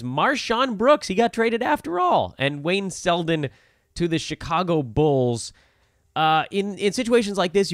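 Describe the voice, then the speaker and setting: A man's voice: on the high side, fairly bright tone, dry recording, clean studio sound. A man co-hosting a casual sports chat show, talking in a joking, self-deprecating way. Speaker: podcast co-host. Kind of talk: casual fantasy basketball chat.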